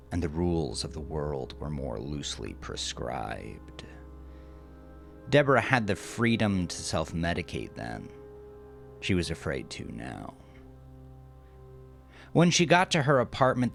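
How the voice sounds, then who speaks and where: Deep, gravelly male horror narrator. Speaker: audiodrama narrator. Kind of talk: scripted horror fiction narration. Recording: music under the voice. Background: music.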